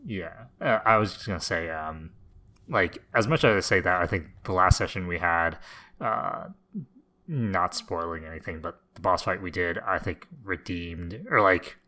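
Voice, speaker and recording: Very deep, bright-voiced man chatting casually. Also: moderate pace. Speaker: podcast co-host. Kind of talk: casual banter about video games.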